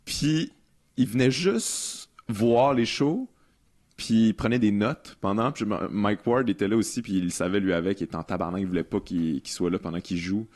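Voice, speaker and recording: Man, quebec french accent, low voice, podcast host, relaxed, hesitant fillers. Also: normal speed. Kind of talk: casual interview chat.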